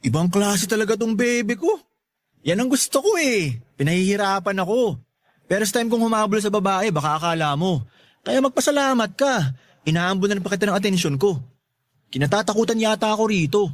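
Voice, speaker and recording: Cheeky, swooping high-voiced young loafer played by a man. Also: animated, fairly quick, dry recording. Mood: boastful. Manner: teasing, wheedling, boastful. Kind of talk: Tagalog radio drama acting.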